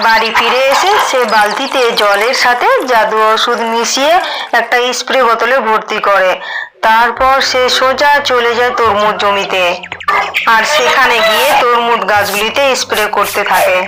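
A woman's voice: a little high, fairly even intonation, moderate pace, heavy background noise. A woman reading scripted story narration for a cartoon, with music underneath.

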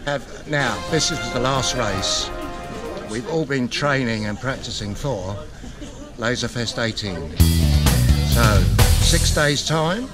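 A man's voice: medium pitch, expressive, slow pace, loud music behind the voice. A man in his sixties hosting a sailing club vlog, chatting casually and interviewing racers into a handheld mic, rambling and jokey. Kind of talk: casual chat. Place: outdoors under a tent at a busy sailing club. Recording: outdoor recording with loud crowd. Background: loud crowd chatter.

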